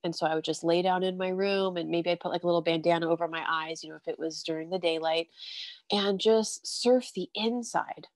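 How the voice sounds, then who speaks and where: A woman's voice: lowish voice, steady tone, brisk, dry recording. Stuffy-nosed woman hosting a spiritual chat. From California. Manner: breezy, upbeat, heavy on "you know" and "if you will". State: stuffy nose from allergies. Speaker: host.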